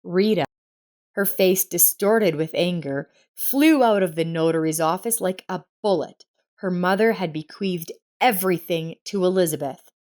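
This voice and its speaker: Lower-pitched woman narrating a story. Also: a little slow, darkish tone.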